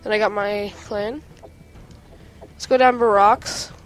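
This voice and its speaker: A male gaming host with a stuffy nose from a cold, chatting excitedly while clicking through game menus at home. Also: high voice, slow pace, soft background music. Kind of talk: casual game menu walkthrough.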